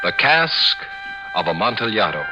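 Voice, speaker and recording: A man's voice: lowish voice, very animated, moderate pace. A man announcing a radio drama in a formal 1950s style. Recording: dull old radio recording, loud music.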